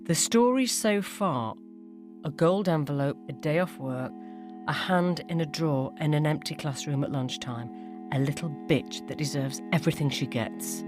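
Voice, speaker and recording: A woman reading a first-person ghost story as scripted dramatic narration, measured and suspenseful. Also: deep voice, over music. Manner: suspenseful, measured, acts out dialogue.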